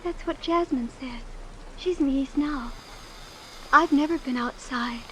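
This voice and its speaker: A woman's voice: high-pitched, measured pace, a little hiss or hum, rough recording. A woman playing a self-assured, sheltered teenage rich girl with artless candor, in old-time radio drama style. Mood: sweetly curious. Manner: artless, matter-of-fact candor.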